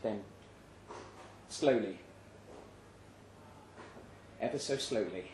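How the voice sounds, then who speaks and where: A man's voice: fairly low pitch, a little mellow. Man reading a story in an echoey room. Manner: measured, suspenseful delivery with deliberate pauses. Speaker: story reader. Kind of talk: dramatic literary narration, read aloud live. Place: indoor live reading event. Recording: roomy live venue, faint background noise.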